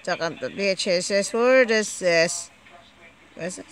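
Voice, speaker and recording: A bright, forceful voice at a medium pitch presents a casual homemade unboxing. The delivery is slow and halting, with disjointed phrases and frequent repetition. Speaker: amateur video maker showing gadgets. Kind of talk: casual home unboxing video.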